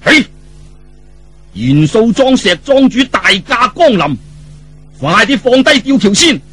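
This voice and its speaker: A man's voice: very high voice, very animated, takes it slowly, husky, voice pushed up, full character voice. A man with a Cantonese accent voicing a proud, imperious male swordsman of a mountain sect in a storytelling performance. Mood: imperious, annoyed. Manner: boastful, imperious, calling out loudly.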